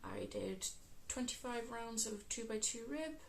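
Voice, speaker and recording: Croaky woman with a West Midlands accent. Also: fairly high pitch, animated, slight background noise. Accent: West Midlands English. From England. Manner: chatty, many ums, unhurried. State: croaky from a cold. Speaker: crafting podcast host. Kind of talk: casual crafting chat.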